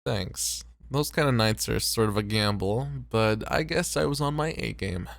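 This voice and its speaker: A man playing a tired, popular host and teasing flirt in an ASMR roleplay, with relaxed banter. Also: on the low side, moderate pace, vocal fry, no room sound. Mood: tired, modest.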